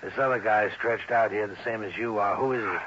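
An actor playing a brusque, impatient police sergeant, gruff and clipped, in an old radio crime drama. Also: male voice, on the low side, fairly quick, background noise, natural voice. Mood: gruff, insistent. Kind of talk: 1950s-60s radio crime drama acting. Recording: dull, muffled old radio recording.

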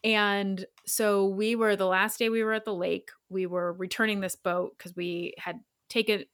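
A woman's voice: mid-range voice, flat delivery, a little slow, a bit bright, no room sound. Chatty self-deprecating woman, dry sound. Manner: rambling, self-deprecating, laughs often. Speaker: podcast co-host. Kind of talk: casual chat.

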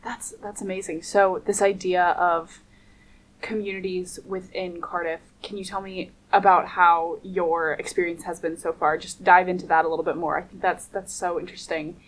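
A woman's voice: on the low side, level tone, normal speed, low-quality recording. A young woman hosting a student radio interview in a studio, upbeat and laughing often. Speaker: radio host.

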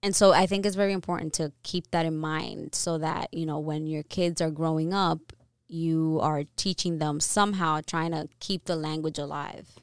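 Earnest woman with a smooth, fairly low voice. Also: fairly even intonation, normal speed, no room sound, clean studio sound. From New York, US. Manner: earnest, opinionated, many fillers and restarts. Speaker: podcast co-host. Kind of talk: casual conversational podcast.

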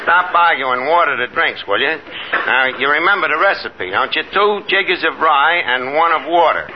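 A noisy, muffled 1950s radio recording carries an actor playing a scheming tavern manager. He is a high-voiced man who wisecracks in slang and puffs himself up with big words. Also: expressive, normal speed, natural voice. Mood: irritated, blustering. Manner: slangy wise guy using pompous big words. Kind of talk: 1950s radio comedy acting.

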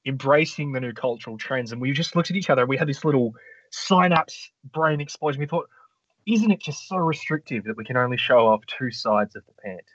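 Man, co-host, lively pitch, dry close sound, dull lo-fi audio. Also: brisk, dry recording. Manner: mock-earnest, rambling, animated. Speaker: podcast co-host, contestant. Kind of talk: comedy podcast banter.

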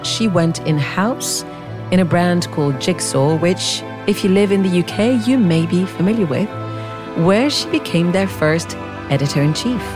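A woman hosting an interview podcast, introducing her guest and talking with her. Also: on the low side.

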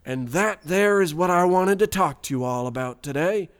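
A man playing a folksy, naively smug country boy who tells his story deadpan, with a rural American accent, in a radio parody. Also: sits high, normal speed, smooth. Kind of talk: scripted first-person storytelling, radio parody.

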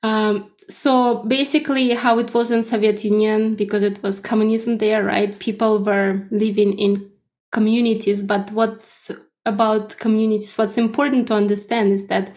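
A woman's voice: mid-range voice, flat delivery, normal speed, a little mellow. A woman in her thirties with a Russian accent, answering conversationally as a podcast guest and often checking in as she explains. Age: 30s.

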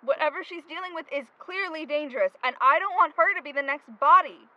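A woman's voice: high voice, a little fast, pushed and bright, lo-fi sound. An actress playing a driven, stubborn young woman in grief, speaking insistently in an audio drama. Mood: urgent, pleading. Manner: insistent, urgent. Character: driven, stubborn, grieving young woman hunting her missing friend.

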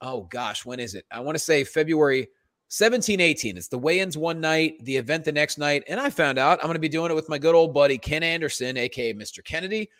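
A man's voice: a little high, fast, a bit bright, no echo. A man co-hosting a comedy podcast with slangy, showman-like casual banter and plugs. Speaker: event announcer and performer.

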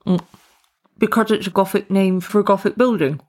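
A woman's voice: on the low side, steady tone, normal speed, a little mellow. A woman voicing a casual, curious young female university student in storybook dialogue, offhand and musing.

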